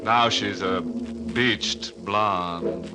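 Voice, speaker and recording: An actor playing a gleefully macabre horror host on a 1940s radio show, with sly, theatrical, punning delivery. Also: male voice, low voice, extremely slow, background noise. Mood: sly, joking. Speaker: horror anthology host and narrator. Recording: old radio recording with music.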